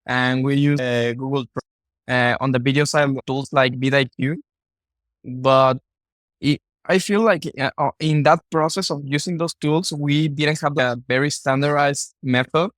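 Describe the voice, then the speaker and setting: A man from Mexico with a Mexican Spanish accent, a native Spanish speaker, talking casually as a podcast guest in halting, repetitive phrases. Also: medium pitch, fairly even intonation, a bit bright, dry recording, studio quality. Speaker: podcast guest, content creator. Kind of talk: casual interview talk.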